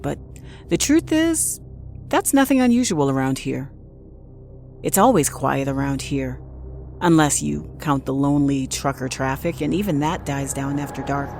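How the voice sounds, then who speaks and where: Low-voiced, solitary night nurse. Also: female voice, expressive, unhurried, music in the background, heavily acted. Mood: calm, brooding. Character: solitary, unflappable night nurse at remote sanatorium. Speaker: actress, narrator. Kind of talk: first-person scripted audio drama monologue.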